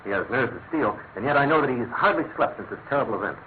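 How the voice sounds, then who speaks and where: A man playing a proper, fussy and excitable English government minister in a radio drama. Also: medium pitch, quick pace, close to an everyday voice. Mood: polite, anxious. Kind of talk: classic radio drama acting. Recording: thin phone-like radio sound, clear noise.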